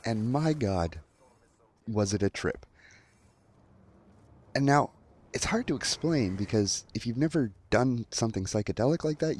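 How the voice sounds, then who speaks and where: A man's voice: on the low side, a little mellow. A young adult man telling a story in a casual commentary style, acting out quotes as he goes. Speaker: online storyteller.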